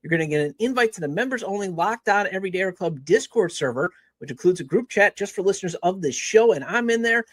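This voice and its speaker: A man's voice: sits high, animated, fast, few pauses, dry recording. A man hosting a sports podcast, moving between promos and introductions.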